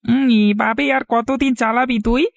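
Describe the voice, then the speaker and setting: A man's voice: very high voice, flat delivery, normal speed, vocal fry, dry recording, studio quality. A man playing a kindly older village uncle in a Bengali cartoon, coaxing and reassuring. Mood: concerned.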